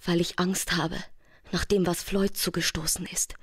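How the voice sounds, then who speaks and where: An actress playing a guarded, calculating femme fatale in a German radio crime drama. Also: female voice, fairly low pitch, level tone, measured pace, husky. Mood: uneasy.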